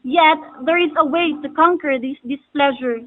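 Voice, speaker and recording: A woman's voice: sits high, moderate pace, close to an everyday voice. A woman playing an ominous wise-woman astrologer in a stage drama, pronouncing prophecy in a formal, oracular manner. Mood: solemn, ominous. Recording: muffled phone-like audio.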